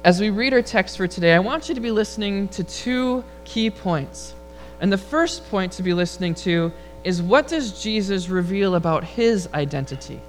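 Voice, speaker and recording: A man with a high, rather feminine-sounding voice, preaching a relaxed, humorous sermon and leading prayer before a congregation. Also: moderate pace, faint music behind, rough recording. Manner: relaxed, storytelling delivery with humor. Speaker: pastor. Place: church sanctuary before a congregation.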